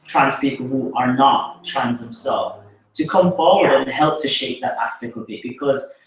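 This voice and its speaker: A man's voice: mid-range voice, moderate pace. A man hosting a live call-in show, laughing often and restarting his questions. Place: small studio with a plain backdrop. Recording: echoey room from far off.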